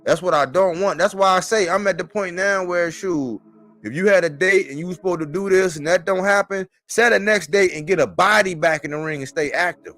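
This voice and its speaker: Man, forties, slightly high voice, colloquial sports-stream commentator, music underneath. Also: a little fast, sharp tone. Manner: conversational, colloquial, repeats phrases for emphasis. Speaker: boxing commentator on a live stream. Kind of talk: casual sports chat.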